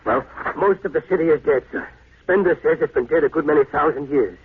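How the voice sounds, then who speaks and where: An actor playing a dutiful, plain-spoken officer reporting deferentially to his captain in a 1950s radio drama, husky voiced. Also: fairly high pitch, fairly quick, a little hiss or hum, close to an everyday voice. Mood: grave, shaken. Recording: thin, tinny 1950s radio recording.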